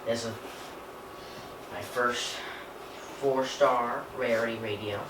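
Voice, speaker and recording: Male hobbyist vlogger, unscripted and halting, half talking to himself, roomy sound with noticeable background noise. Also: mid-range voice, fairly even intonation. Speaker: hobbyist vlogger unboxing gadgets. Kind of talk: casual unscripted chat while handling a device. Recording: echoey room, clear background noise.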